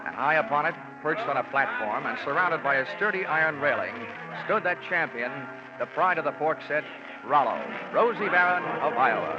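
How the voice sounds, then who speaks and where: A man's voice: a little high, close to an everyday voice. An actor playing a wisecracking male insurance investigator, narrating and quipping in 1940s radio drama style. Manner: dry sardonic quips, brisk narration. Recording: dull lo-fi old radio broadcast.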